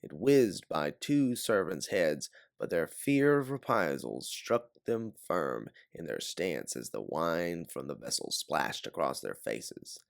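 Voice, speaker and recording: Deep-voiced guy narrating a story, really animated, dry recording, audiobook style. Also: takes it slowly, vocal fry, few pauses, no room sound. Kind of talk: scripted dramatic story narration.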